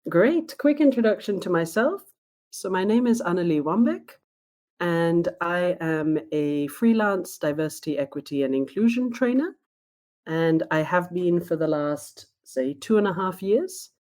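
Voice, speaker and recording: A woman with a low, soft and mellow voice, talking as a guest on a podcast. She speaks in a thoughtful, reflective way and takes her time to answer. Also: animated, a little slow. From born in Sweden, raised in Spain. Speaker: guest, diversity trainer. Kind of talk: podcast interview conversation.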